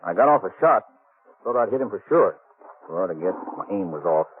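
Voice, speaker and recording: A man's voice: sits low, very animated, fairly quick, breathy edge, soft background music, full character voice. A man with an American accent playing a friendly, confident frontier sheriff in a radio western, folksy and plainspoken. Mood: determined. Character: friendly, confident Old West sheriff leading a posse. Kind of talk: 1950s radio western acting. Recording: tinny, muffled vintage radio recording.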